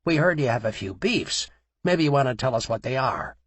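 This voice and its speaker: A man's voice: medium pitch, expressive, brisk, fairly bright tone, breathy edge, no echo. A man voicing a crude, bullying male mob enforcer who threatens with snarling menace. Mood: menacing. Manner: snarling tough-guy menace.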